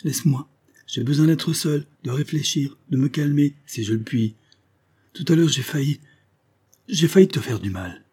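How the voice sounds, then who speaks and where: A man's voice: lowish voice, animated, normal speed, warm tone, breathy edge. A man voicing a jealous husband in a storybook reading, suspicious and anguished, given to growling, stammering outbursts.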